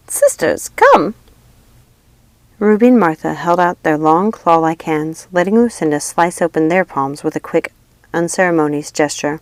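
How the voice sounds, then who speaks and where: A woman reads a fantasy tale aloud in a fairly low voice. The sound is dry, with very little room in it. It is scripted storybook narration. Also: darkish tone, dry recording. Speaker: audiobook reader.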